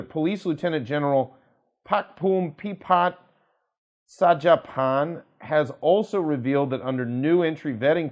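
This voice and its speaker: Man, American accent, mid-pitched voice, attorney presenter, news commentary, measured delivery, emphatic stresses. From United States. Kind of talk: news commentary to camera, quoting articles.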